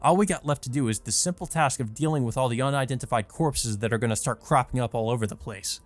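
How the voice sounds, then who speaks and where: Guy voicing a foul-mouthed hothead vampire hunter, gruff, cusses a lot, mid pitch. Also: fast, faint music behind, lightly acted. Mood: angry. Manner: cursing, gruff, aggressive.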